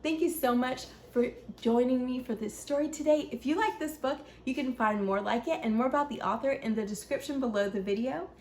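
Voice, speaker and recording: Higher-voiced woman reading to children, echoey room. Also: brisk, few pauses, darkish tone. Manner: addresses young listeners directly with questions. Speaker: children's read-aloud host. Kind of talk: expressive picture-book read-aloud for children. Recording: echoey room, faint background noise.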